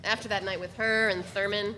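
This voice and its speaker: A woman narrating literary fiction in a live dramatic reading, measured and deliberate with dramatic pauses. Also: medium pitch, level tone, sharp tone. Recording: reverberant hall, faint noise.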